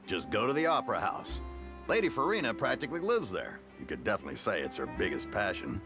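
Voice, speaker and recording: A man voicing an easygoing, chatty townsman with a relaxed, joking manner, with game music underneath. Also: lowish voice, fast. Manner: relaxed, jokey.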